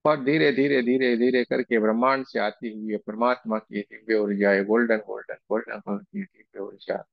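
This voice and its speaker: A man leading a guided meditation, speaking in slow, soothing, repeated phrases with pauses between them. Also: mid-range voice, lively intonation, creaky, no echo, lo-fi sound. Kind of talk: guided meditation with chanted invocation and slow repetition.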